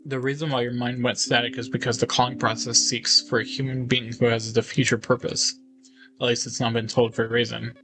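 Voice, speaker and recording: A man's voice: mid-range voice, flat delivery, brisk, music in the background, rough recording. A man reading visual novel dialogue aloud in character, as a gaming video host. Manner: acts out characters' lines while reading.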